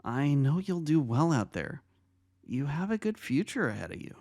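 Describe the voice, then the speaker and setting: A man voicing a kind, reassuring vice-principal in an audio drama, speaking gently and earnestly. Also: a little high, sing-song, moderate pace, creaky, dry recording, studio quality, heavily acted. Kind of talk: audio drama fan fiction reading. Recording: music under the voice.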